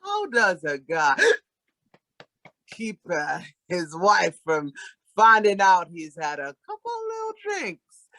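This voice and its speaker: An actor playing a sloppy, fawning drunk husband in a comic old-time radio play, with slurred, grandiose speech. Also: male voice, high voice, huge pitch swings, takes it slowly, pushed and bright, no echo, heavily acted. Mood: sloppy, elated.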